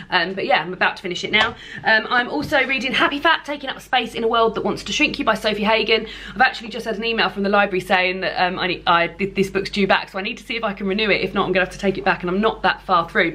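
A woman's voice: medium pitch, sharp tone, slight background noise. A young British woman chatting casually to camera as a book vlogger, emphatic and gushing, rambling a little as she goes. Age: young adult. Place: indoor room lined with bookshelves.

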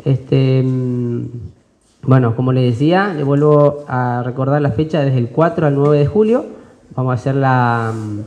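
A man's voice: medium pitch, a little slow, darkish tone. A man, a business owner speaking at a press conference with many fillers and restarts. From Argentina. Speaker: business owner presenting an expo. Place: indoor conference room. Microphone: desk mic on stand.

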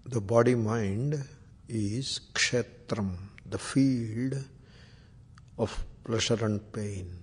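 A man with an Indian accent leading a guided meditation as a spiritual teacher, speaking deliberately in short phrases with Sanskrit terms and chants. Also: on the low side, slightly rough. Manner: slow, deliberate, long pauses between short phrases.

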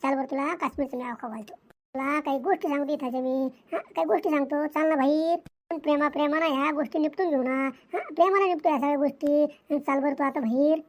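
Man voicing a high-pitched flustered cartoon husband. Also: steady tone, fast talker. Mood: coaxing. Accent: rural Maharashtrian Marathi. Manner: repetitive, insistent. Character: pleading, flustered cartoon husband caught between two wives. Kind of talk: cartoon comedy dialogue.

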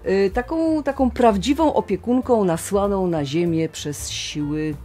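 A woman's voice: medium pitch, a little slow, warm tone. A middle-aged woman, an actress, answering hesitantly in an interview. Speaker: actress interviewed about her role. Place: indoor studio control room. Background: background music.

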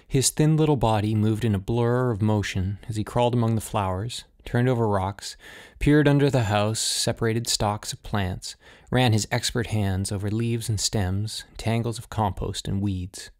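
A man's voice: lowish voice, normal speed, darkish tone. A man reading scripted narration of a suspense story as an audiobook narrator.

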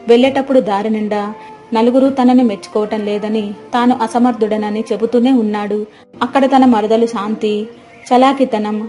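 A woman's voice: fairly high pitch, warm tone. A woman narrating a scripted moral story as its storyteller.

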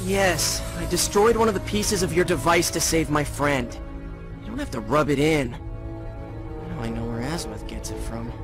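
A man playing a cocky, impatient American teenage boy superhero in an animated video game. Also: on the high side, normal speed, over loud music, lightly acted. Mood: awkward, irritated.

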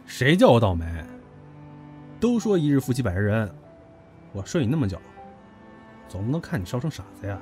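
An actor in an audio drama playing a brash, possessive younger businessman, a blunt, cocky and teasing lover who bickers gruffly. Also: mid-range voice, big pitch swings, fairly quick, pauses often, a little mellow, faint music behind, lightly acted. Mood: scolding, gruff.

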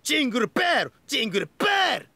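A man's voice: very high-pitched, big pitch swings, husky, no room sound, exaggerated voice. A man voicing a hyperactive, goofy, cheerful young man in an anime mobile game, with exaggerated delivery and abrupt bursts. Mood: manic, excited. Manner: manic, shouting, drawn-out yells, abrupt bursts.

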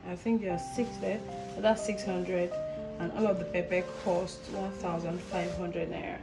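A woman with a Nigerian accent chatting casually in her home kitchen as a cooking vlogger, pausing with "um" as she recalls prices. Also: on the low side, level tone, vocal fry. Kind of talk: casual on-camera chat. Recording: loud background music.

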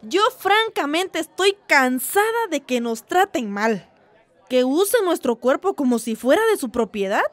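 A woman's voice: sits high, big pitch swings, measured pace, dry recording, a faint crowd. An actress with a Mexican accent playing a weary, outspoken village woman in a radio drama. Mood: fed up.

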